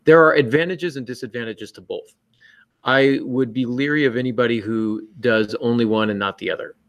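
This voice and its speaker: A man's voice: medium pitch, a little fast, no echo. A man co-hosting a podcast, giving casual writing advice in a joking, self-mocking manner. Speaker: podcast co-host and novelist.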